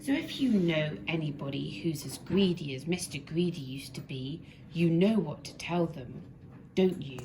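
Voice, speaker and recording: A woman with a fairly low, creaky voice tells a children's story. She stresses words dramatically and deliberately, over clear background noise. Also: expressive. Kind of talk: expressive children's story narration. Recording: noisy amateur recording, clear background noise.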